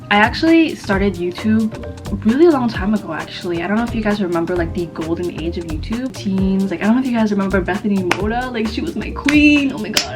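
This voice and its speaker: A woman of twenty-four from the East Coast of the United States, whose first language is Vietnamese, chatting casually to her viewers in a rambling, self-mocking way with lots of fillers. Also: mid-range voice. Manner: chatty and rambling, many fillers, quick bursts, self-mocking jokes, frequent laughs. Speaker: YouTuber. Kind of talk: casual get-to-know-me chat to camera. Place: her own room at home.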